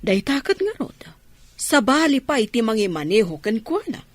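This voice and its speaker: A woman's voice: a little high, big pitch swings, moderate pace. A woman with an Ilocano accent playing a well-off mistress of the house in a radio drama. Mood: matter-of-fact.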